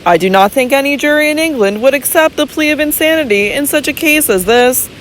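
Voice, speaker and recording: A woman reading the part of a calm, deliberate male physician in an audiobook, quiet and measured. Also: high voice, slight background noise, voice pushed up, low-quality recording.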